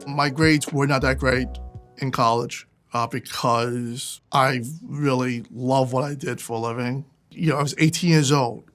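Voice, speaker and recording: A man's voice: mid-range voice, steady tone, measured pace, a bit bright, soft background music. Plainspoken New man in his fifties. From New York City. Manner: candid, plainspoken, emphatic. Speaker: fund manager guest. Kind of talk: conversational interview monologue.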